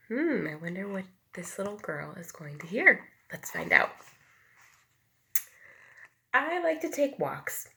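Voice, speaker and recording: A woman with a fairly low, slightly gravelly voice reads a picture book to preschoolers. Her pitch moves around in a lively way as she plays with sound words. She speaks simply, like a teacher addressing small children. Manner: acts out sound words, dropping to whispers and rising to loud calls; asks the young listeners questions and explains simply. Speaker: pre-K teacher reading aloud. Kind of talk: expressive picture book read-aloud to young children.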